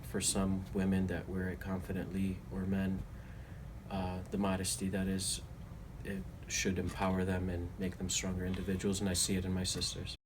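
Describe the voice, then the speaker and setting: A man in his thirties speaks low and level, with little rise or fall, weighing his words earnestly in an interview. A steady noise runs beneath his voice throughout. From Toledo, Ohio, US. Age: 30s. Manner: measured, earnest, some hesitations. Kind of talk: reflective interview answers.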